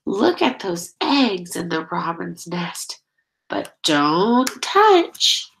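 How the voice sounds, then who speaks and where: A woman's voice: on the low side, very animated, very slow, vocal fry, fairly bright tone, a little hiss or hum. A middle-aged woman reading a picture book aloud as a teacher, expressively, drawing out key words and prompting young listeners with questions. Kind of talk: children's read-aloud.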